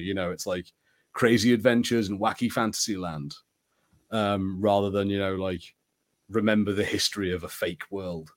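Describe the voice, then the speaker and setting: Low-voiced man in casual podcast chat. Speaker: podcast co-host. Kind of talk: casual chat about fantasy film and TV.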